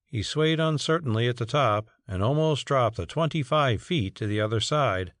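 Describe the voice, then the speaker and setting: A man's voice: medium pitch, animated, normal speed, a bit bright, no echo, studio quality. A man narrating a story as a scripted, dramatic audiobook reading.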